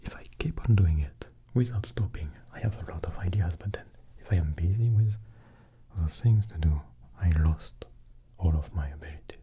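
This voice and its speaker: A man hosting an ASMR channel, mostly in a soft unvoiced whisper that drifts near and far from the microphone, chatting slowly and haltingly to his viewers. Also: low-pitched, highs cut off, a little hiss or hum. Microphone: close microphone.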